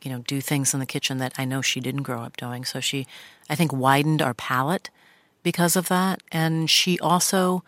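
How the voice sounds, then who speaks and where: A woman journalist with a low voice, reminiscing in a radio studio interview. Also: fairly even intonation, normal speed, fairly bright tone, no room sound. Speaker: journalist and podcast host, as guest. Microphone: studio microphone.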